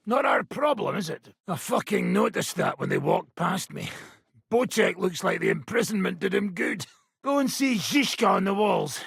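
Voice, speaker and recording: A man voicing a gruff, sardonic middle-aged mercenary bandit in a video game, with a raspy, gravelly voice and a blunt, coarse, mocking manner. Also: high-pitched, a bit bright, dry recording, studio quality, heavily acted. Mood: mocking, amused.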